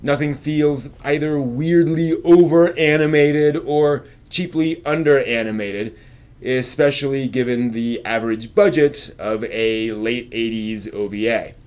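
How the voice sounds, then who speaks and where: A man hosting a review stream, reading scripted commentary with emphatic, measured phrasing and casual conversational asides. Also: mid-range voice, a little mellow, clean tone. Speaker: host of an anime review live stream.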